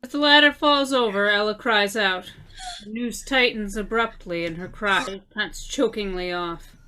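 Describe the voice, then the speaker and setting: A woman reads the stage directions of an old-time radio play slowly and evenly. Her voice is bright and forward, with a faint hiss under it. She also closes the show as announcer. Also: mid-range voice, low-quality recording. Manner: measured, scripted delivery. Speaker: narrator and announcer.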